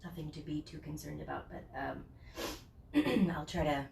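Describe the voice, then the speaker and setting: A woman with a stuffed-up summer cold teaching a yoga class at home, chatty at first and then giving slow, soothing cues. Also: low-pitched, animated, creaky, darkish tone, recorded from a distance, slight background noise. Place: home, near a porch patio set. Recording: echoey room from off the mic.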